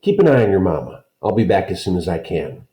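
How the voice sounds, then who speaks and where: A man's voice: low voice, very animated, fast talker, mellow, echoey room. A man voicing a loving, anxious father in a children's storybook. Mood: reassuring. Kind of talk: children's storybook read-aloud.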